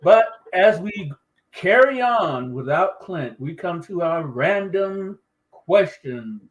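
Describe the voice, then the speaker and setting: A man hosting a podcast, talking in a relaxed, easygoing way and drawing out his phrases. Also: high-pitched, slow pace, low-quality recording. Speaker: podcast host. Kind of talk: casual podcast chat.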